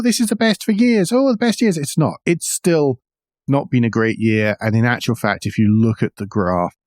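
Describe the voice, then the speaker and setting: Male podcast host for news commentary: low voice, measured, wry, tight dry sound. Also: a little mellow, no echo.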